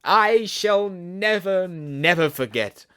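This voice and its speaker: A man's voice: high voice, animated, slow pace, pushed and bright, clear, resonant tone, no echo, studio quality, voice pushed up, exaggerated voice. A man voicing a small, pompous, panicky old chess king in an aghast, spluttering, dramatic way for a storybook. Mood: horrified.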